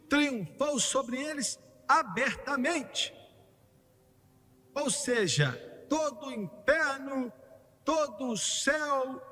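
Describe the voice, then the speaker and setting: Fervent male preacher, high voice, long hall reverb, soft music under the sermon. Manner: emphatic preaching that climbs to fervent, shouted peaks, with joking asides. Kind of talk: sermon, voiced over in translation.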